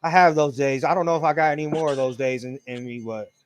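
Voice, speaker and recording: A man's voice: fairly high pitch, steady tone, quick pace, crowd noise. Late-thirties man, casual sports banter. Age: 30s. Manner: profane, laid-back banter with slang. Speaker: podcast co-host.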